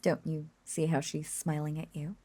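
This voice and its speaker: A woman voicing an obsessive, possessive witch in an intimate roleplay audio drama, cooing and coaxing in soft, breathy half-whispers. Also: low voice, completely flat delivery, normal speed. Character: lovesick yandere witch, obsessive, possessive, sweetly unhinged.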